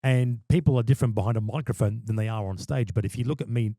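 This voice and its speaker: A man's voice: mid-range voice, quick pace, mellow, no echo, clean studio sound. A man, a wedding MC and podcast guest, talking passionately and emphatically, often repeating words as he starts a thought. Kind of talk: casual podcast chat.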